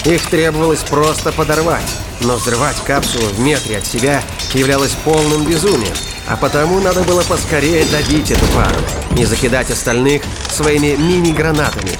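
A man's voice: fairly high pitch, brisk, hardly any pauses. A man reading scripted narration for a science fiction thriller audio drama. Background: music and sound effects.

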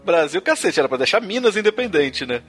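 Higher-voiced man chatting on a podcast. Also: sing-song, fairly quick, a bit bright, husky, soft background music. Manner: hesitant, many restarts and tag questions. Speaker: podcast host. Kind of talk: casual conversational chat on music history.

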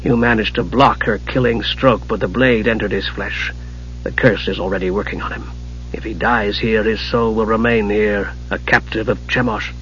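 A man voicing a cold, irritable archmage in a storybook, speaking in a hushed, husky, dry and disdainful way. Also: fairly low pitch, fairly even intonation, moderate pace, highs cut off, slight background noise, pitched below the performer's own voice, lightly acted. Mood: grave, dry. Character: bitter, irritable male archmage, cold and menacing.

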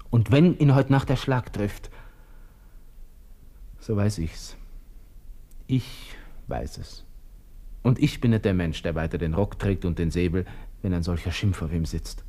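An actor playing a proud, agitated young army lieutenant with a Viennese accent, talking to himself in a radio drama. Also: male voice, deep voice, dark tone. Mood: grim resolve. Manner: brooding, talking to himself in clipped bursts. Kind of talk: radio drama interior monologue.

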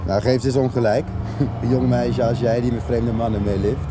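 An actor playing an easygoing, teasing but guarded driver in a radio drama, talking in a relaxed, casual way. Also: male voice, medium pitch, moderate pace, close to an everyday voice. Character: easygoing South African geologist driver, friendly but guarded. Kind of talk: naturalistic radio drama acting in dialogue.

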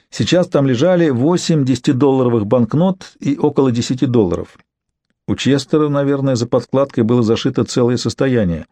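A man's voice: medium pitch, mellow, no echo. A man narrating a novel as a scripted literary audiobook.

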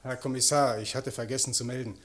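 A man's voice: mid-range voice, steady tone, fast, a bit bright, close to an everyday voice. An actor playing a dutiful, plodding junior policeman in a radio crime drama, deferential and matter-of-fact. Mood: deferential, earnest. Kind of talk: German radio play acting.

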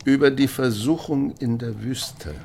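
An actor playing a stern, brooding married country pastor in a radio play, curt, evasive and reproving. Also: male voice, medium pitch, unhurried, gravelly, mellow, a little hiss or hum. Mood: irritated, restrained. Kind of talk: naturalistic German radio play acting. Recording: music behind the voice.

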